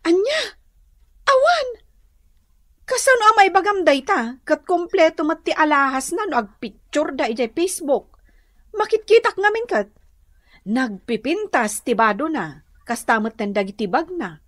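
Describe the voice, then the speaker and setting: A very animated, insistent woman relative in an Ilocano radio drama. Her voice is high and Ilocano-accented, recorded close and dry. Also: normal speed, dry recording. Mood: indignant, demanding. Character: nosy, insistent female relative gossiping and demanding repayment.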